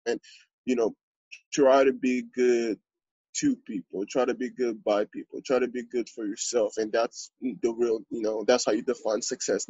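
A man in his twenties from Congo, a podcast guest telling his story in a relaxed, earnest way with lots of fillers. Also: fairly low pitch, husky, no room sound, highs cut off. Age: 20s. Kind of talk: podcast interview.

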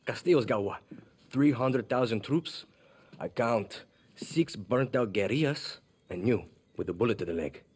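Game casting: weary young island cynic, heavily rasped mid-range voice, blunt delivery. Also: male voice, medium pitch, expressive, measured pace, darkish tone. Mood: cynical, sardonic. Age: young adult. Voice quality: husky. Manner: blunt, sardonic. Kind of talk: video game cutscene acting.

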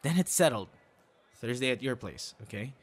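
Young boyfriend role, acted by a man for audio roleplay: mid-pitched, shy and kind, conversational with small stammers. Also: expressive, moderate pace, lightly acted. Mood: warm, excited. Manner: conversational, hesitant, with stammers and soft asides. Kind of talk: ASMR boyfriend roleplay acting.

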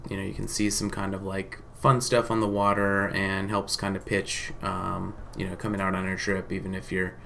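Low-voiced man, casual and hesitant presenter. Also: little pitch movement, normal speed, vocal fry, few pauses, fairly bright tone, a little hiss or hum. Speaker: marketing company presenter. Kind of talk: informal case study presentation to camera.

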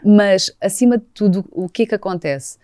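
A woman in her forties, born in Brazil, speaking with a mixed Portuguese-Brazilian accent as an animated guest in a studio podcast. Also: mid-range voice, normal speed, smooth, no echo. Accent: Portuguese, shifting toward Brazilian Portuguese. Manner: animated, gestures with her hands, does mock voices for quotes. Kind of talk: casual conversational interview. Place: cosy caravan studio set. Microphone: studio mic on a boom arm, with headphones.

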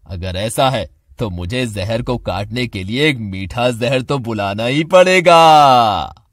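A man's voice: medium pitch, lively intonation, slow pace, fairly bright tone. A man voicing a sinister, scheming old sorcerer in a cartoon, with a menacing, commanding delivery. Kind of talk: Hindi cartoon voice acting.

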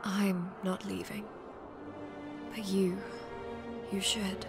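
A woman's voice: mid-range voice, level tone, drawn-out words, music in the background. A woman voicing a sardonic, conflicted male demon in a comic dub, wry and hesitant, sometimes dropping to a whisper. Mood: bitter.

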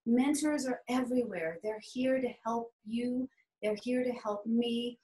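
A woman's voice: on the high side, unhurried, mellow, echoey room, rough recording. A woman in her forties from New Orleans gives a candid, conversational speech to her club over a webcam video call. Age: 40s. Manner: candid, conversational, sprinkles in "y'all". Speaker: club speaker. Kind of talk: prepared personal speech. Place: home room, indoors. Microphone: laptop webcam on a video call.

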